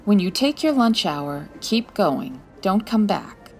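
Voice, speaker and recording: A woman's voice: medium pitch, sing-song, takes it slowly, soft background music. A woman playing a confident, wry 1940s private detective in a radio-style comedy drama, breezy and teasing. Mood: brisk, confident. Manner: breezy, teasing, self-assured.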